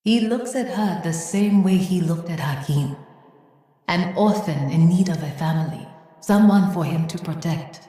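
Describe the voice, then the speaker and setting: Actress as a low, reverberant, wise woman. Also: mellow, lightly acted. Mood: tender, reflective. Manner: measured, earnest, reminiscing. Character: wise, gentle woman storyteller, a former healer. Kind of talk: reflective video game monologue. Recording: very echoey, long reverb.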